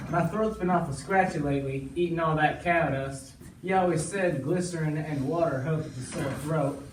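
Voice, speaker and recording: A man's voice: a little high, normal speed, natural voice. A man playing an easygoing young cowboy hero in an amateur Western stage play, with folksy delivery. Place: small indoor stage, recorded from audience. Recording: echoey stage, distant mic, noisy.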